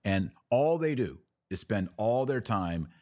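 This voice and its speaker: A man's voice: fairly low pitch, big pitch swings, unhurried, a little mellow, dry recording, studio quality. An American man hosting a live commentary podcast, answering comments in a blunt, opinionated way and repeating phrases for emphasis.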